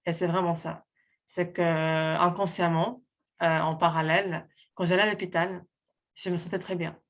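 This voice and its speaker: A woman from Portugal, a guest, sharing a sincere personal testimony while pausing and searching for her words. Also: on the low side, flat delivery.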